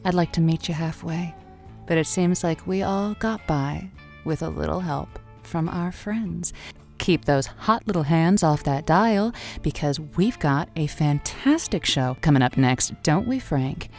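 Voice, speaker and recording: A woman playing a playful, soft-hearted late-night radio call-in host with a coy, halting manner. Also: low voice, animated, faint music behind. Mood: fond signing off. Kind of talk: improvised audio drama, late-night radio call-in show.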